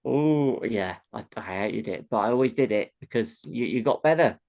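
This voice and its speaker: A man with a noticeably nasal voice, talking as a comedian guest in a relaxed interview. His speech sits at an ordinary middle pitch, and he chats freely, laughs easily and slips into little acted-out audience reactions. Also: normal speed, highs cut off. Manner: chatty, laughs often, acts out audience reactions. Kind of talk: casual interview chat.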